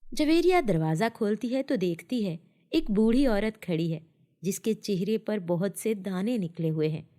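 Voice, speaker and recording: A female storyteller reads a scripted children's tale. Her voice sits at a medium pitch, in the narrating style of a story for young listeners. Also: animated, moderate pace, dark tone.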